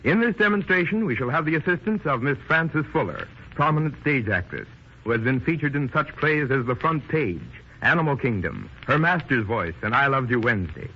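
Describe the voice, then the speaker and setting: A man's voice: medium pitch, animated, fairly quick, slightly rough, lo-fi sound. A man presenting on 1930s radio as announcer and host, with a formal showman's delivery, on an old band-limited recording.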